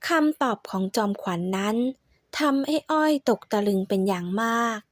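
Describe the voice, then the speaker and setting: A woman narrating a folk tale in a steady, measured storytelling voice. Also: mid-range voice, no room sound, clean studio sound.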